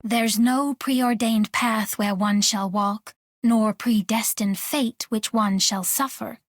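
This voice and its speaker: Serene fortune teller from a game, real slow n solemn, dry sound. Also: female voice, fairly high pitch, steady tone, no echo. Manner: measured, solemn. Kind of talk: video game character voice lines.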